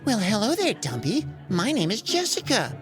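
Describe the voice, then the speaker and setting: A friendly, gentle girl toy truck from a children's storybook, voiced by a woman. She speaks politely and a little high, with lively pitch and clear music behind her. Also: moderate pace. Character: sweet, friendly female toy truck, easily scared.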